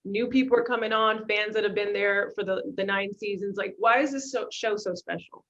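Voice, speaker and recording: A woman's voice: mid-range voice, fairly even intonation, a little fast, a bit bright. A woman hosting a casual question-and-answer session over a video call, with lo-fi audio. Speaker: interviewer, moderator.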